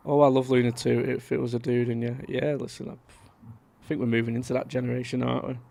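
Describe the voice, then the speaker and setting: Gravelly man chatting. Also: mid-range voice, level tone, fast, mellow. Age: 30s. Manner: chatty, rambling, reads out viewer comments. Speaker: livestream host. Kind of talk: casual live-stream chat.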